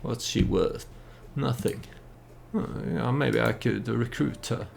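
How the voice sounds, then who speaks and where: A man's voice: mid-range voice, takes it slowly, gravelly, a little mellow, faint music behind. A man who is not a native English speaker gives casual gameplay commentary with storytelling, in a hesitant, meandering manner. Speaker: let's play host.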